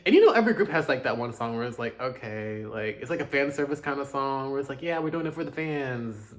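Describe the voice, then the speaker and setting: A man's voice: medium pitch, lively intonation, fast. A man casually chatting through his music reviews, chatty and self-deprecating, with joking asides. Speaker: K-pop reaction host.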